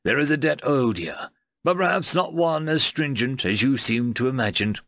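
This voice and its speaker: A man playing a mild, fussy bureaucrat in an audiobook, speaking in precise, formal, gently cautioning sentences. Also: mid-range voice, animated, moderate pace, no room sound, clean studio sound. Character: mild, fussy, unthreatening bureaucrat negotiator from London. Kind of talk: audiobook character voice.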